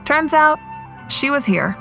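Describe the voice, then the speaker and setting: A woman playing a composed, courteous young leader in a video game, speaking in a measured, poised way. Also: sits high, very animated, vocal fry, sharp tone, faint music behind, heavily acted. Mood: wry.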